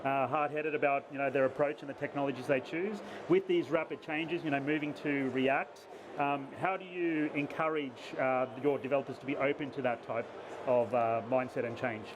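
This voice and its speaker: A man co-hosting a casual live-stream interview, dropping quick agreeing backchannels. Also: mid-range voice, steady tone, background noise. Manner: quick backchannels, frequent laughs. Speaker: co-host.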